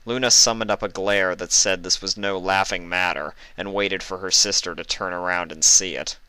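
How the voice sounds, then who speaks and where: A man reading stories as a host, with expressive narration into a close microphone. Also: low voice, normal speed, smooth, no room sound, poor audio. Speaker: story reader and channel host. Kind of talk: expressive scripted storybook narration with casual introduction.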